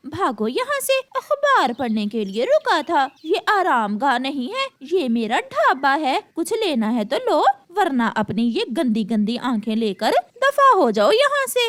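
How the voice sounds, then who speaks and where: Woman voicing a high, scolding female crow shopkeeper. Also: huge pitch swings, measured pace, few pauses, a bit bright, no room sound, exaggerated voice. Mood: angry, scolding. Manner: scolding, bossy, quarrelsome. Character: greedy, bad-tempered female crow tea-stall owner. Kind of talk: Hindi moral-story cartoon voice acting.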